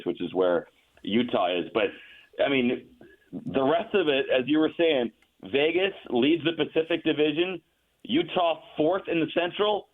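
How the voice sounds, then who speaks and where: A man calling in as a guest, talking through stats in a chatty, fact-heavy way with lots of restarts. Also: mid-range voice. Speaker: radio play-by-play announcer, guest. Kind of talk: casual radio interview. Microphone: phone line. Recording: tinny phone line.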